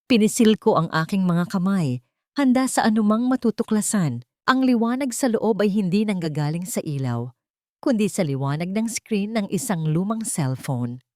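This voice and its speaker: A woman narrating a dramatic first-person story in a deliberate, suspenseful way. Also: medium pitch, lively intonation, a little mellow, no echo, clean studio sound. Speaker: audiobook narrator.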